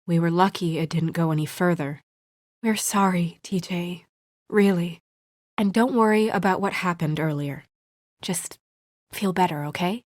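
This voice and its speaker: An actress playing a brisk, exasperated, dutiful young professional woman in a video game, speaking in a crisp, earnest, businesslike way. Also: fairly low pitch, no echo, clean studio sound. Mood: gentle, concerned.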